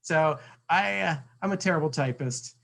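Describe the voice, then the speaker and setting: A man in his forties, an author being interviewed, talking in a relaxed, rambling way. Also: a little high, normal speed, gravelly. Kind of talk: casual video chat.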